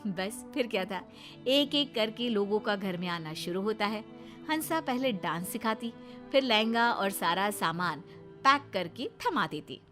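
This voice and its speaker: Woman narrating with very lively intonation. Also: mid-range voice, measured pace. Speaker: story narrator. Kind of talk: scripted cartoon story narration. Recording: clear music behind the voice.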